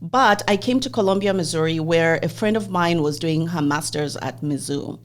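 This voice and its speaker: A woman's voice: low voice, moderate pace. A woman in her forties from Zambia, hosting a podcast and telling her own life story in an open, reflective way. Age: 40s. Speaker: podcast host. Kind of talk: personal interview conversation.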